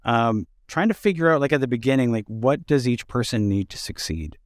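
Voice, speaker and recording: A man's voice: medium pitch, expressive, brisk, no echo, studio quality. A man interviewing in a casual conversational way, thoughtful and a bit hesitant as he forms his points. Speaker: interviewer and fellow theatre director.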